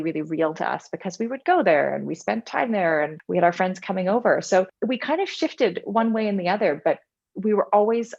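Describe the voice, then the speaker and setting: A woman's voice: fairly low pitch, gravelly, darkish tone. A middle-aged Canadian woman with a Canadian accent, telling her story as a podcast guest in a reflective, conversational way. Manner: reflective, storytelling with frequent "you know". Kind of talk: casual conversational interview. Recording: muffled lo-fi audio.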